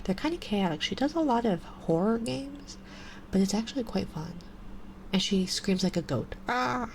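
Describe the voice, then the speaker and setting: A woman in her twenties with a stuffy nose, chatting softly on a live ASMR stream and slipping into whispers. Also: fairly high pitch, moderate pace, slight background noise, low-quality recording. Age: 20s. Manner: soft and relaxed, drifting into whispers. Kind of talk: casual chat to a live stream. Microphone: binaural mic.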